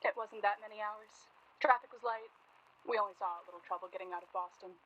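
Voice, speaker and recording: A woman's voice: mid-range voice, fairly even intonation, fast talker, a bit bright, highs cut off, lightly acted. A woman playing a guarded, polite young journalist in a naturalistic radio drama, speaking with reserve and some hesitation. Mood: reserved. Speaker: actor in a radio play.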